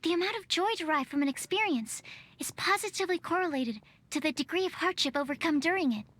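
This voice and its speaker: A woman's voice: very high-pitched, expressive, fast, fairly bright tone. A woman playing a shy, bookish young female alchemist in a video game, hesitant and precise like a scientist. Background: game music.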